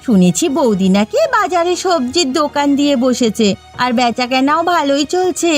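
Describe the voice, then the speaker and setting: High, scornful scheming village daughter-in-law played by a woman. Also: animated, normal speed, faint music behind. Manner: scornful, bossy, scolding. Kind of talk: animated moral story voice acting.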